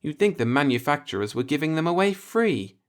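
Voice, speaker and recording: Man putting on a coy, high-pitched playful young woman. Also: very animated, fairly quick. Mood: bored.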